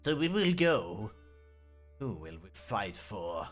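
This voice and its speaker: A man's voice: lowish voice, very animated, slow pace, faint music behind. A man hosting a let's play, reading the game's text aloud and often restarting words as he stumbles.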